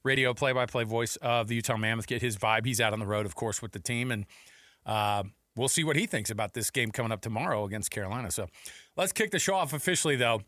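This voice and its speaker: A man's voice: medium pitch, quick pace, fairly bright tone, dry recording, clean studio sound. A man hosting a sports talk radio show, bantering casually in an informal, playful way. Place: radio studio. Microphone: close studio mic.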